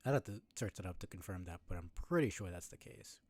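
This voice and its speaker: A man's voice: low voice, expressive, fast talker, darkish tone. A man giving casual game commentary in a musing way, thinking aloud as he goes. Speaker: game streamer.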